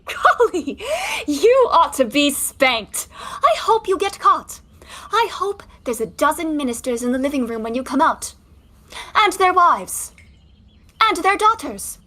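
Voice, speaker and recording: Actress as a bright, shrill-pitched, scolding prim young lady. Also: sing-song, unhurried, full character voice. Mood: exasperated scolding. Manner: exasperated, scolding. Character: prim, scandalized, scolding young 1920s woman. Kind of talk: 1920s one-act stage comedy acting.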